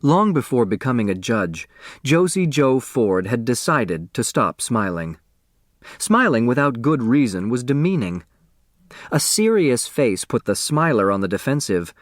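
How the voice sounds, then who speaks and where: A man narrating a novel as an audiobook, in a measured, expressive storytelling manner. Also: mid-range voice, no room sound, clean studio sound.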